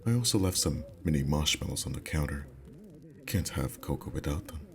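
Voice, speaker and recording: Man playing a very deep-voiced, affectionate boyfriend. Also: animated. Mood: relaxed, weary. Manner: soft, intimate, unhurried, teasing. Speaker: ASMR roleplay voice actor. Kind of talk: intimate ASMR boyfriend roleplay. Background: music.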